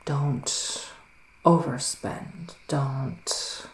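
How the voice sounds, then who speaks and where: A man playing a gentle psychic witch in an ASMR roleplay, speaking in a soft, musing whisper with long pauses. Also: mid-range voice, fairly even intonation, roomy sound, a little hiss or hum. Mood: hushed, musing. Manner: slow, hushed, musing, with drawn-out pauses. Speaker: ASMR performer giving a psychic reading.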